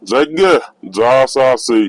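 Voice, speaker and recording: A man plays a middle-aged villager in a comedy cartoon, gullible yet keen on money. His is colloquial and repetitive, at an ordinary male pitch. The recording is close and dry. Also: sing-song, slow pace, dry recording. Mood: hesitant, yielding.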